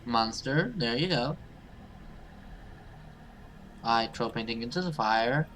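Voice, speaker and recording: Bright-voiced man doing game commentary. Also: medium pitch, expressive, slow pace, a little hiss or hum, low-quality recording. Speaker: gaming video host. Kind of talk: casual let's play commentary, reading game text aloud.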